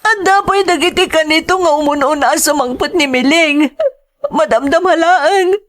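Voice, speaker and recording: A woman's voice: high-pitched, moderate pace, fairly bright tone. A woman with an Ilocano accent playing a young wife, wounded and fiery, in an emotional, emphatic audio melodrama style. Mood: tearful, betrayed. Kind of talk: melodramatic audio serial acting.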